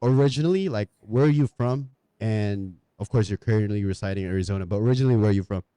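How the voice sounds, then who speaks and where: Low, dry-sounding male podcast host. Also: quick pace, dark tone, clear, resonant tone, no echo. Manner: slangy, informal. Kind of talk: casual chat interview.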